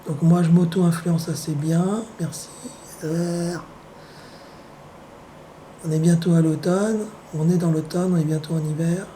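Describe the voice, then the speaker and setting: A man with the flu talks at home in a loose, meandering monologue, his hoarse voice mellow and dark. He is wry and sardonic, and he pauses often with drawn-out hesitations. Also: fairly high pitch, fairly even intonation, a little hiss or hum, low-quality recording. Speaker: vlogger. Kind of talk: rambling casual monologue.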